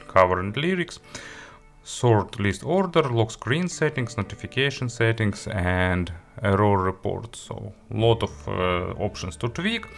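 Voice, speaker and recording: A man reviewing a product in an unscripted walkthrough, speaking haltingly and stumbling over words, with music playing behind him. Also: fairly low pitch, creaky, a bit bright. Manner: halting, hesitant delivery with stumbles.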